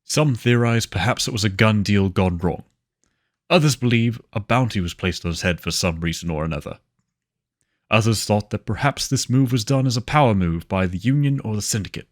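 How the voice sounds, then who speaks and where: Low-voiced man narrating, dry sound. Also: a little fast, no room sound. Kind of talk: scripted story narration.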